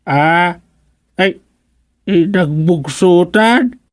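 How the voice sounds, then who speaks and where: A man playing a wounded, confused fugitive in a radio drama, weak, halting and pleading. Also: high-pitched, dry recording. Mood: weak, pained.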